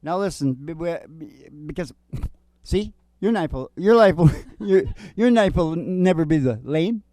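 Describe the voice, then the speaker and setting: A man's voice: on the high side, lively intonation, a little slow, dark tone, dry recording. A man hosting a country music show, chatting and joking in a rambling, folksy way. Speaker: host and singer. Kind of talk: casual chat with acoustic country singing. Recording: music playing behind. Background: acoustic guitar.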